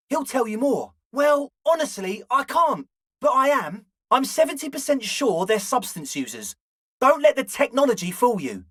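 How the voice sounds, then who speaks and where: A man's voice: extremely high pitch, a bit bright, husky, no echo, studio quality, exaggerated voice. A man voicing an eager, flaky young male slacker ringleader in a video game, talking in an earnest, ingratiating, hedging way. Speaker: voice actor. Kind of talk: video game character dialogue.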